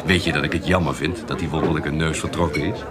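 A man's voice: extremely low pitch, steady tone, quick pace. An actor in a Dutch radio play playing a wry, loyal and slightly bashful male companion in the 1790s. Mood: wry, amused. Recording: busy crowd chatter behind the voice. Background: loud tavern crowd.

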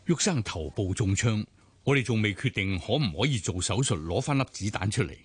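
An actor playing a grave, measured hospital doctor in a radio drama. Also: male voice, fairly low pitch, animated, slightly rough.

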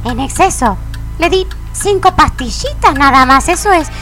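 A woman's voice: high voice, animated, normal speed, loud music behind the voice. An actress with an Argentine accent playing a brazen, melodramatic, defiant girlfriend in a comic radio drama.

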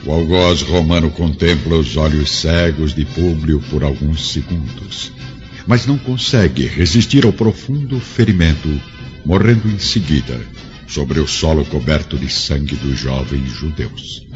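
A Brazilian man narrating a radio drama in a solemn, theatrical style with measured phrasing. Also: extremely low pitch. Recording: dull lo-fi audio, music underneath.

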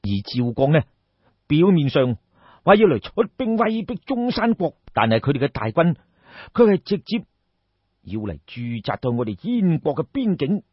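A man voicing a seasoned, loyal army general in Cantonese, sighing and speaking in a deliberate, measured way. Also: on the high side, big pitch swings, breathy edge, dry recording, lo-fi sound. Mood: grave. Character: seasoned male army general, grave, weary, firm and loyal.

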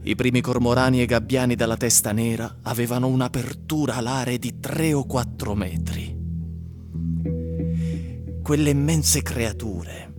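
An actor playing a grim train passenger in a radio drama, telling of desolation in measured, literary phrases. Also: male voice, steady tone, close to an everyday voice. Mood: sombre, storytelling. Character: grim male fellow train passenger telling of dying cities. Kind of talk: theatrical radio drama acting and storytelling. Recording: music under the voice. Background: plucked guitar or bass music.

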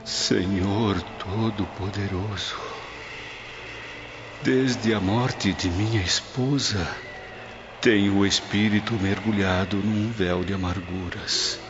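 Ageing Roman father, weary and grave, theatrical radio-play style, deliberate pace, muffled recording. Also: fairly low pitch, steady tone. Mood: grieving, hopeful. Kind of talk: dramatised radio play acting. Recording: dull lo-fi audio under loud music.

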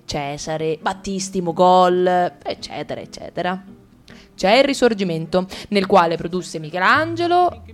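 Woman narrating with wry irony. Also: on the low side. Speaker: radio play actress. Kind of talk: satirical narration.

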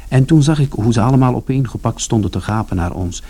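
Mellow, reflective storyteller. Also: male voice, medium pitch, animated, fast, breathy edge. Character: reflective young son recounting events. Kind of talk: radio play narration.

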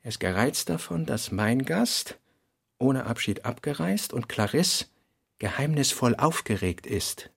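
A man narrating a literary radio drama in a deliberate manner, with a gravelly voice. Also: mid-range voice, sing-song, a bit bright, dry recording, studio quality.

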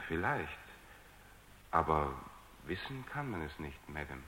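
A courteous scientist in a radio play. His very deep voice sounds formal and matter-of-fact, set in a roomy echo. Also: big pitch swings, unhurried, sharp tone, slight background noise, exaggerated voice. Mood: sober, explanatory. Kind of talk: German radio drama acting.

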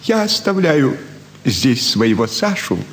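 A man's voice: medium pitch, slow, a little hiss or hum. An actor playing a fawning elderly provincial landowner and doting father, effusive and sentimental in a classic stage radio play. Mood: pleading. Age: older. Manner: effusive, sentimental, flattering with many sighs. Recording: roomy stage hall with faint ambience.

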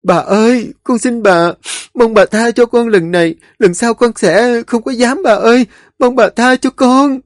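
A man's voice: very high-pitched, measured pace, no echo, clean studio sound, voice pushed up. A man voicing a frightened, loyal young servant girl who whimpers and begs. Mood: begging. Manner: whimpering begging.

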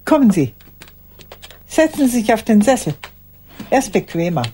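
An actress playing an old woman in a radio play monologue, courteous and haunted, recalling the past in a halting, breathy, raspy voice. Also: on the high side, sing-song, dark tone, slight background noise. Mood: musing, uneasy. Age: older. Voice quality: husky, raspy, breathy, often dropping to a whisper. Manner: deliberate, reflective, halting.

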